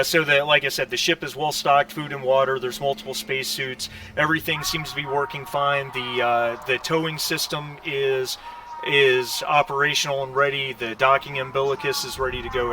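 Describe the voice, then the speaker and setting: A man narrating casually as game master, improvising with halting pauses. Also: medium pitch, little pitch movement, bright, forceful tone, a little hiss or hum.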